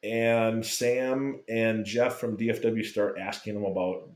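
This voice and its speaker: A man casually telling a lively fan story, swearing freely and acting out what people said. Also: lowish voice, a little slow. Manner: animated, swearing, acts out quotes. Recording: roomy echo.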